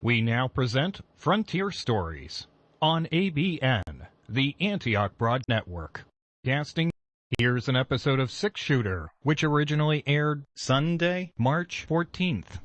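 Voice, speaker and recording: A man's voice: mid-range voice, sing-song, a little slow, no room sound, lo-fi sound. A man giving a scripted programme introduction as a radio network announcer.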